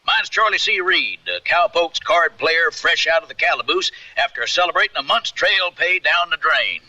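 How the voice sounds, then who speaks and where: An actor playing a brash, good-natured old-west cowpoke in a radio drama, drawling colorful slang with an American Western accent. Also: male voice, a little high, animated, moderate pace, bright, forceful tone, no echo, far from the mic, exaggerated voice, rough recording. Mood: friendly, teasing. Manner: colloquial, drawling cowboy slang.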